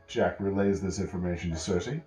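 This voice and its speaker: Low-voiced man telling a bedtime story, echoey room. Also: dark tone, soft background music. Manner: pauses and self-corrections while telling. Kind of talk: improvised bedtime story narration.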